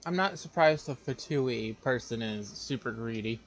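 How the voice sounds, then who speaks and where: A man's voice: medium pitch, animated, moderate pace. A man giving casual, laid-back gameplay commentary on a live stream, with wry asides and game noise behind.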